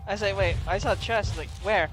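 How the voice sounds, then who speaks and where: High-voiced man narrating gameplay. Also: a little fast, over loud music, poor audio. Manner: relaxed, rambling, filler-heavy. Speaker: gameplay streamer. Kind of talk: casual gameplay commentary.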